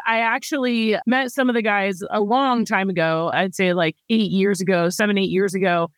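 A woman's voice: medium pitch, no echo. Female founder, casual interview guest, rambling with fillers, dry recording. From grew up in Thailand, then the US. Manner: relaxed, rambling, many fillers like "you know" and "like".

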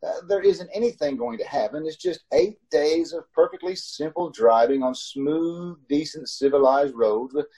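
A man's voice: fairly high pitch, normal speed, few pauses, mellow, breathy edge, low-quality recording. A man playing an anxious driver in his thirties in an old-time radio drama, with dramatic delivery. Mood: cheerful, reassuring. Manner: urgent, dramatic first-person narration. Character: haunted, anxious thirty-six-year-old male driver telling his story.

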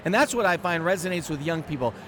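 Animated high-voiced man in his forties. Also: fast. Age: 40s. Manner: animated, emphatic, self-deprecating humor. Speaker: guest speaker. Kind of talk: casual podcast interview. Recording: outdoor noise in background.

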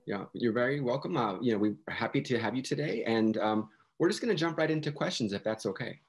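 A man co-hosting a podcast, talking in a measured way and hedging with "you know" and "I think". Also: medium pitch, animated, creaky, breathy edge. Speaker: podcast co-host.

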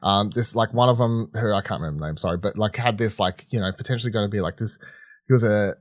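A man co-hosting a podcast, chatting casually and swearing freely, with a halting, rambling way of talking that often restarts mid-sentence. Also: on the low side, dry recording, studio quality. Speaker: podcast co-host reviewing film and TV. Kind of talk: casual unscripted chat.

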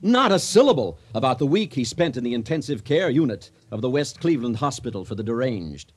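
An actor playing an exuberant comic storyteller in a radio drama, husky and grandly mock-solemn. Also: male voice, medium pitch, very animated, a little fast. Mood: mock-solemn, wry. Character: exuberant comic storyteller addressing a wedding crowd. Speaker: narrator.

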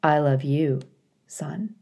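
A woman voicing a female robot mother in a storybook, calm, earnest and tenderly devoted, with some sadness. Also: low voice, slow, creaky, exaggerated voice. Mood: loving, hushed.

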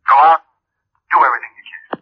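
A man acting a shaken, earnest insurance company official in a 1950s radio drama, husky, urgent and grave. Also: fast, soft background music, lightly acted. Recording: muffled vintage radio recording.

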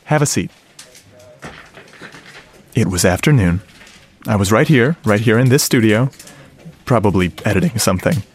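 Actor as chatty, enthusiastic radio audio geek. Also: male voice, fairly low pitch, animated, moderate pace, long pauses, slight background noise, lightly acted. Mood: casual, eager. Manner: casual, explanatory, rhetorical questions to listener. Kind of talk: conversational radio drama monologue.

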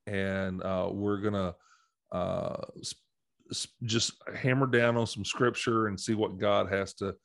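A man hosting a church podcast, talking through the topic in a casual, rambling way, his voice slightly hoarse from allergies. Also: fairly low pitch, fairly even intonation, unhurried, a bit bright, dry recording. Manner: rambling, many fillers and restarts. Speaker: podcast host. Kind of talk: casual teaching conversation.

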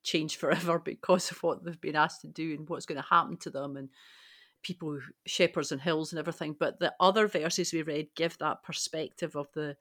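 A woman's voice: low voice, fairly even intonation, fairly quick, a bit bright, no room sound, studio quality. A woman co-hosting a podcast, talking casually and reflectively in discussion. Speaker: podcast co-host.

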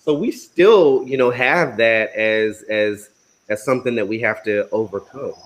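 A man's voice: lowish voice, unhurried. A man chatting casually in a group conversation, joking and using many fillers. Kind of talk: casual roundtable chat.

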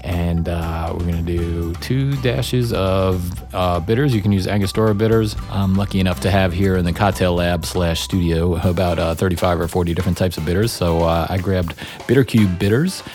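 A man hosting a cocktail podcast from his home studio, relaxed and full of "uh" fillers. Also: low-pitched, normal speed, faint music behind. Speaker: podcast host.

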